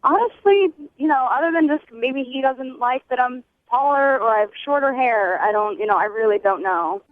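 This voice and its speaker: Tinny phone-line woman, chatty and higher-pitched. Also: expressive, moderate pace, no room sound. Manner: chatty, hedging, frequent "like" filler. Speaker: caller to a radio show. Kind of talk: casual phone chat. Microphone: telephone.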